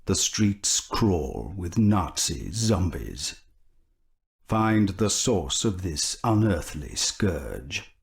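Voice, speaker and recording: A slow, grave horror-game announcer. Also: male voice, low-pitched, big pitch swings, creaky, slightly rough, heavily acted. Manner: slow, grave, ominous delivery. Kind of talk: scripted game narration.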